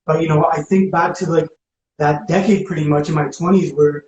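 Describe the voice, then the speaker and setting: A man's voice: on the high side, fairly even intonation, fairly quick, a little mellow. A man talking casually and reflectively, in informal everyday language. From spent teenage years in Ecuador. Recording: roomy echo, faint background noise.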